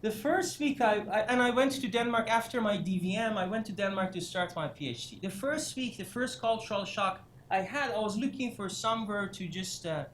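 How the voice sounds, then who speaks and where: A man raised in Iran giving a career workshop lecture, halting with many fillers and restarts, breaking into animated asides. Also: high voice. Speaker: career development instructor. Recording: echoey room, faint noise.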